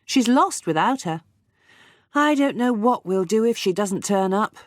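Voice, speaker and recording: A man voicing a worried farm mother in a high falsetto in a children's storybook reading. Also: animated, dry recording, clean studio sound. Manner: fretful, high falsetto, slow.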